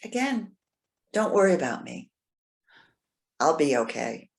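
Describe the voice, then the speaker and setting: A woman's voice: low-pitched, big pitch swings, a little slow, creaky, exaggerated voice. A woman playing a lonely, stoic elderly woman in a staged play reading. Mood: resigned, reassuring.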